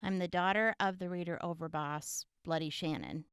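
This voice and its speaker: A woman's voice: lowish voice, steady tone, moderate pace, noisy background, close to an everyday voice. A woman playing a guarded young raider who talks in a casual, subdued way in an audio drama. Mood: reluctant. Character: guarded young female raider, overboss's daughter.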